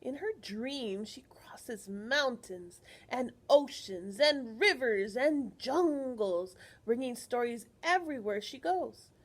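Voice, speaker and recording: Female children's storyteller: high voice, unhurried read-aloud, slightly husky edge, teacherly asides and questions to young listeners. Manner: expressive, addresses children with questions and explanations.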